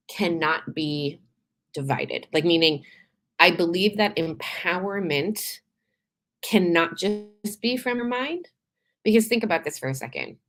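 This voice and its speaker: A woman from Canada hosting a live show, talking in a chatty, animated way with frequent laughs and restarts, her voice a little tired from days of talking. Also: fairly low pitch. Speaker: podcast host. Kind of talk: casual live-stream monologue.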